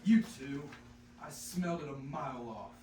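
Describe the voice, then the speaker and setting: A man's voice: medium pitch, animated, moderate pace, mellow, close to an everyday voice. Distant, needling hound dog, played by a teenage boy. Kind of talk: classroom reader's theater. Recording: echoey, noisy classroom, distant mic.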